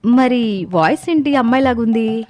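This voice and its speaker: A woman voicing an earnest, expressive young sister in a dramatized cartoon moral story. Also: fairly high pitch, normal speed, natural voice. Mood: puzzled. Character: young sisters, eager amateur video makers. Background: background music.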